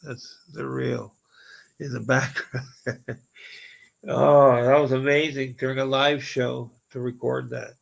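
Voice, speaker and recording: Casting: Canadian-accented male stream host, slow, gravelly, going hoarse, excitable rambler. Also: medium pitch, poor audio. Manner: rambling, excitable, exclaims often. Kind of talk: casual live stream chat.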